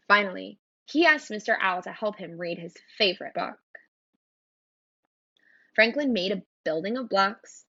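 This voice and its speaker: A woman reading a children's storybook aloud in an expressive narrating style. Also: medium pitch, dry recording, highs cut off. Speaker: storybook reader.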